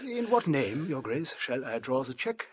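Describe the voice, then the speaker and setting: An actor playing an obsequious, greedy pawnbroker in a period audio drama, fawning and over-polite with flustered hesitations. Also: male voice, mid-range voice, very animated, moderate pace, close to an everyday voice. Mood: fawning, eager.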